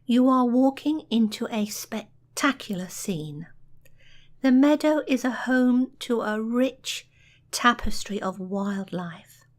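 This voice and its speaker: Very slow, soothing woman narrator. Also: on the high side, lively intonation. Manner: soothing, measured, softly delivered with pauses. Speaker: guided relaxation narrator. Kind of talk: scripted guided meditation narration.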